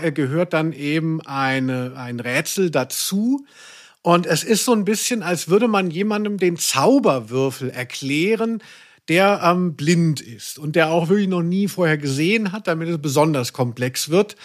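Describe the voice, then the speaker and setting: A man's voice: a little high, fairly bright tone. A man co-hosting a podcast in casual chat, musing and hesitant as he searches for words. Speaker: podcast co-host. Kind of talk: casual chat about radio plays.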